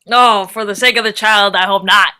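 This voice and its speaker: A woman's voice: mid-range voice, fairly even intonation, normal speed, bright, forceful tone, clean tone, close to an everyday voice. A woman on stage playing a weary but playfully inventive woman sifting through fire ruins, in naturalistic stage acting. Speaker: actor in a staged play reading.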